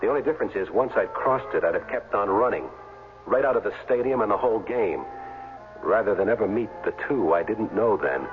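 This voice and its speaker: A male actor plays a washed-up young football player in a vintage radio drama. His low voice swings expressively through wry, colloquial lines. The recording is dull, with music underneath. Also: expressive, brisk, natural voice. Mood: wry, reflective. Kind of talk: 1970s radio drama acting and first-person narration.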